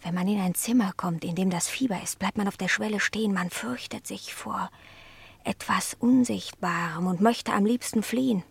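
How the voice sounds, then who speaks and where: A woman's voice: medium pitch, normal speed, vocal fry, breathy edge. A woman playing a proud, jealous, cruel and mysterious cat in a 1960s German radio play, theatrical and sardonic, often whispery. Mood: hushed, eerie.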